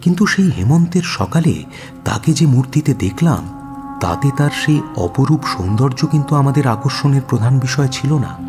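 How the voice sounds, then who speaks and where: An actor playing a detective's earnest companion, narrating a radio drama in a measured, expressive, descriptive way. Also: male voice, medium pitch, close to an everyday voice. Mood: admiring, solemn. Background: music.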